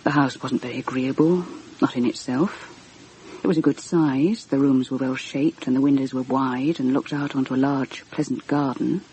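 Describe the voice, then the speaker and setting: A woman's voice: very deep voice, steady tone, normal speed, mellow, slight background noise, poor audio. An actress playing a lonely, depressed woman writer of thirty-two, subdued and reflective, in a radio drama with narration. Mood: brooding narration. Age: 30s. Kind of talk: radio drama acting and first-person narration.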